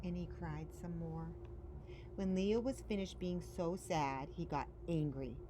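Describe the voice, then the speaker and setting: A woman's voice: fairly low pitch, a little slow. A woman reading a children's picture book aloud as a story-time reader, expressively and with dramatic emphasis. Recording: faint background noise.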